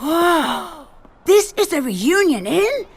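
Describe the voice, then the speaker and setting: A woman voicing an excitable, wide-eyed young apprentice in an animated game, eager and curious. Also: very high-pitched, slow, a little hiss or hum, full character voice. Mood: amazed, eager. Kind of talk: animated game cutscene acting.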